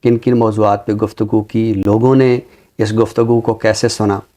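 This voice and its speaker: A middle-aged man with a Pakistani accent speaks formally and deliberately about literature, repeating phrases for emphasis. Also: fairly low pitch, level tone, warm tone. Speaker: literary show presenter and book reviewer. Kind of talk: measured literary talk with poetry recitation.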